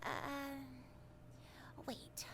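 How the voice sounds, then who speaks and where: An actress playing a gentle, forgetful old granny in a video game, with a wistful, rambling, elderly delivery. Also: female voice, background noise. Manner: slow, dreamy, rambling. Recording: game music behind the voice.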